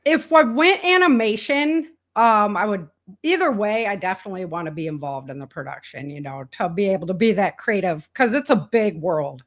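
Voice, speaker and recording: A woman's voice: medium pitch, animated, normal speed. Enthusiastic, chatty woman guest. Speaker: interview guest, indie author and podcaster. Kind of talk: casual conversational interview.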